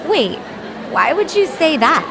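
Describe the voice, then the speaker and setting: A spirited, high-voiced game heroine. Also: female voice, a little slow, a crowd in the background, noisy background. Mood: surprised, teasing. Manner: expressive, frank, casual banter. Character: spirited, candid young woman, sassy friend. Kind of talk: English-dubbed video game voice acting.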